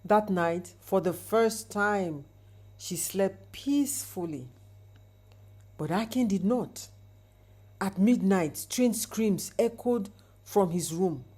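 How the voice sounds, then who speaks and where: A woman's voice: medium pitch, slow, a little mellow, husky. A woman narrating a scripted folktale in a dramatic, suspenseful storytelling manner with rhetorical asides.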